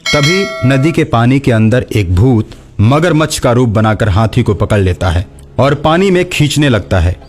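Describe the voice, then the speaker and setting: A man's voice: medium pitch, a little mellow. A man narrating a scripted children's story, with music behind him.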